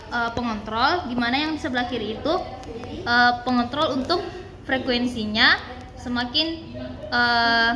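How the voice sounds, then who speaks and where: A young woman giving a halting, step-by-step practical demonstration as a physiotherapy student. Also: on the high side. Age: young adult. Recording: echoey room with loud background chatter.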